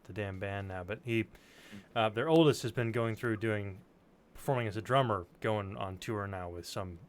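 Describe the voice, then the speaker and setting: A man's voice: fairly low pitch, a little hiss or hum. A man in casual chat, telling anecdotes and acting out what people said, with easy informal swearing.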